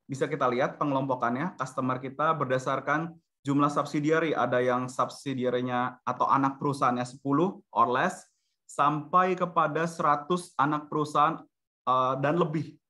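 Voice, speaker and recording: A man's voice: mid-range voice, fairly even intonation, moderate pace, pushed and bright. A man with an Indonesian accent giving a semi-formal corporate product presentation in an explanatory manner, mixing in English business terms. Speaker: webinar presenter for a software company. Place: online video-conference webinar.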